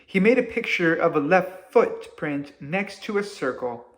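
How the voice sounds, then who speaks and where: Slow, higher-voiced man reading to children. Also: animated. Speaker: teacher reading a story to children. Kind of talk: read-aloud storytelling for children.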